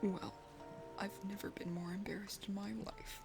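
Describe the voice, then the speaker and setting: A woman's voice: medium pitch, level tone, normal speed, over music, voice pushed down, natural voice. A woman playing an arrogant, blustering but secretly bashful young man from a dating game. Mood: embarrassed. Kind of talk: dating game character read-aloud, ASMR.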